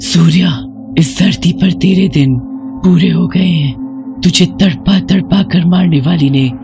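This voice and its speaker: A woman's voice: fairly low pitch, slow pace, over music. Woman voicing a menacing, vengeful witch spirit.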